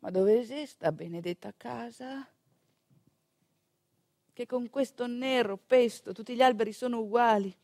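A woman with a northeastern Italian accent telling a theatrical monologue in an expressive, conversational manner. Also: fairly high pitch, a little slow, pauses often, dry recording. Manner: expressive, conversational, with rhetorical questions and asides. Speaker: stage actor and storyteller.

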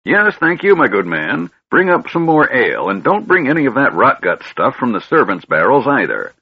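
A high, quavering old male wizard, befuddled and scatterbrained but with a shrewd streak. The voice swoops around and sounds husky. The recording is slightly dull and lo-fi. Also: big pitch swings, normal speed. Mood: commanding. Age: older. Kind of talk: audiobook character voice.

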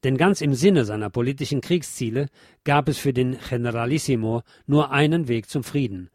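A man reading scripted historical documentary narration in a formal, measured manner. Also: mid-range voice, darkish tone, dry recording, clean studio sound. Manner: formal, measured read delivery.

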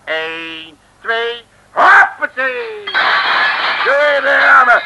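High, brash radio detective. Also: male voice, animated, very slow, gravelly, exaggerated voice. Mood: blustering. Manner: colloquial, slangy, blustering. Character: brash, cocky, bumbling male Dutch detective. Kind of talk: 1960s Dutch comic radio serial acting. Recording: noisy, vintage radio recording.

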